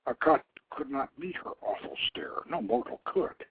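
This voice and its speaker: A man reading a story aloud in a dramatic, theatrical style with deliberate phrasing, over a tinny phone line. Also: mid-range voice, sing-song, husky, no room sound, whispered. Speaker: storyteller, show host.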